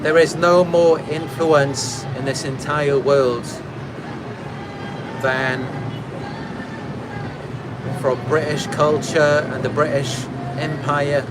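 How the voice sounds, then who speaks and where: A man's voice: fairly high pitch, fairly even intonation, slow, pauses often, over music, poor audio. A Welsh man from Wales, a channel host, reading out viewer comments and replying in a reflective, casual way with fillers and restarts. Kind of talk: casual talk to camera.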